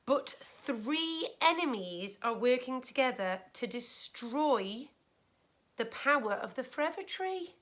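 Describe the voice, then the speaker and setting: A woman teacher reading a storybook aloud to a class of children, expressively and with deliberate, explanatory care. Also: high-pitched, animated, fairly bright tone. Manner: clear, deliberate explaining to young listeners.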